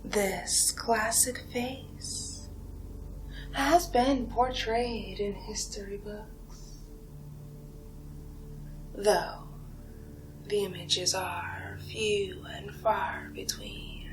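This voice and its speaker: A woman playing a seductive, ancient vampire villain in a whispered ASMR roleplay, breathy and drawn-out, savoring and condescending. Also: mid-range voice, fairly even intonation, music in the background, rough recording. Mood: haughty, hushed. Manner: slow, hushed, drawn-out, savoring delivery.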